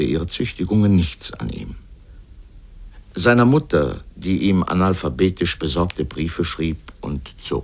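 Low-voiced man narrating a literary radio piece. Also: vocal fry, husky. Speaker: narrator reading a radio essay.

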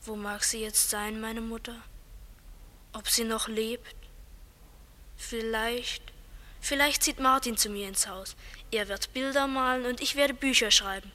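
An actress playing a thoughtful schoolboy in a 1950s radio play, earnest and soft-spoken. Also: female voice, a little high, a little slow, pauses often. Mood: musing. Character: thoughtful schoolboy dreaming of a better future.

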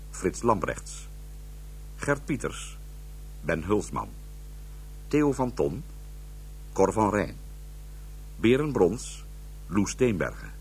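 A man reading scripted radio drama credits as an announcer, in a formal, deliberate way. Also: low voice, a little hiss or hum.

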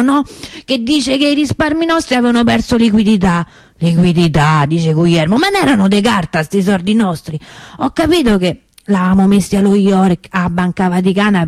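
A woman's voice: medium pitch, a little mellow, dry recording, close to an everyday voice. An actress playing a feisty working-class Roman woman in a theatrical monologue, with a colloquial, indignant, chatty delivery in Roman dialect. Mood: wry, aggrieved. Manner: colloquial, indignant, chatty, confiding asides to listeners.

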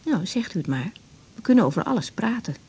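A woman playing a brisk, caring young doctor in a 1980s radio drama, speaking frankly with a slightly husky voice. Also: mid-range voice, very animated, darkish tone, heavily acted. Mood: businesslike, kind.

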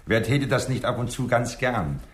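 A man's voice: medium pitch, lively intonation, moderate pace, reverberant, lightly acted. An actor playing a courteous, suave ladies' man in a 1960s radio crime drama. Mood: urbane. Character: suave, womanising vice-consul and café regular.

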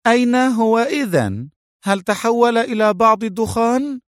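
A man's voice: expressive, unhurried, darkish tone, clear, resonant tone, no room sound, clean studio sound. A man voicing an anxious, easily piqued young female agent in an audiobook, tentative and questioning. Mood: piqued, surprised. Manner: raised pitch, questioning, tentative. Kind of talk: dramatized audiobook heroine.

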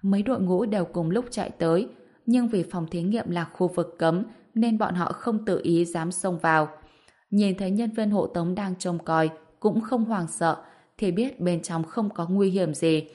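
A woman's voice: lowish voice, measured pace, darkish tone. A woman narrating an audiobook story from a script, voicing the dialogue as she goes.

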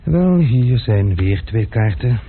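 A man's voice: fairly low pitch, big pitch swings, takes it slowly, heavily acted. A man playing a haughty, ironic envoy. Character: haughty male envoy, hot-tempered card dealer. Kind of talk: radio drama acting. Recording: tinny lo-fi radio play recording with faint hiss.

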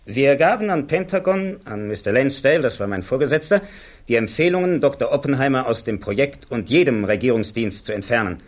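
An actor playing a blunt, confident army security officer who testifies in clipped, matter-of-fact answers in a radio play. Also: male voice, medium pitch, lively intonation, a little fast, close to an everyday voice. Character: blunt, self-assured male army colonel, security officer.

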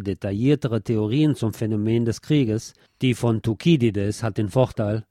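Guy reading a serious article out loud, soft dark tone, very dry sound, measured and formal. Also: mid-range voice, no room sound. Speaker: reader of an article. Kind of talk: read-aloud political essay, scripted narration.

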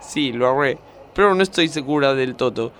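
A man's voice: medium pitch, normal speed, fairly bright tone, slight background noise, close to an everyday voice. A man from Argentina acting a naive, literal-minded young female gymnast with an earnest manner in a parody radio play. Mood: anxious. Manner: innocent, earnest, dim.

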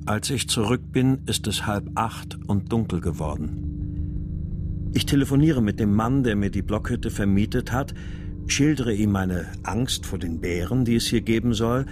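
A man's voice: on the low side, measured pace, close to an everyday voice. An actor playing a grim, determined father searching for his child, narrating and speaking tersely in a crime radio play. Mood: tense, restrained. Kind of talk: scripted first-person narration and dialogue in a crime audio drama. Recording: music behind the voice.

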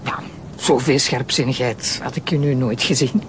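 An actress playing a sharp, teasing female deputy prosecutor in a crime drama, with a Flemish accent and a sardonic, animated manner. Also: a bass voice, moderate pace, background noise. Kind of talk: naturalistic crime drama acting.

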